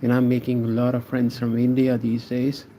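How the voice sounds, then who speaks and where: A man's voice: medium pitch, monotone, moderate pace, warm tone, slight background noise. A man from Pakistan, chatting casually with viewers while he draws on a livestream, answering their comments in a laid-back way. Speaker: art livestreamer.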